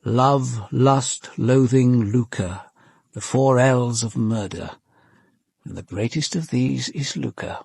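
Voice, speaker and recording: An actor playing a composed, observant police commander with an English accent, in measured, formal radio drama narration and questioning. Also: male voice, mid-range voice, gravelly, a little mellow, slightly rough, no room sound, clean studio sound, exaggerated voice. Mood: reflective, measured. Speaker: detective narrator.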